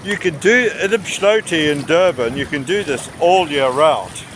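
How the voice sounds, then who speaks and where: A man giving a casual, rambling travel commentary outdoors by the sea, often pausing mid-sentence. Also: on the high side, expressive. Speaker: travel vlogger. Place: outdoors on a rocky seashore by tidal pools. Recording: windy outdoor recording with sea noise. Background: wind and waves.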